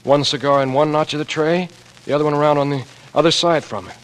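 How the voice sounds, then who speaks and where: A man's voice: a little high, fast talker, close to an everyday voice. An actor playing an anxious, earnest young American man turned amateur sleuth in 1940s radio drama, speaking in terse, clipped deductive phrases. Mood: intent, explaining. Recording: noisy old radio drama recording.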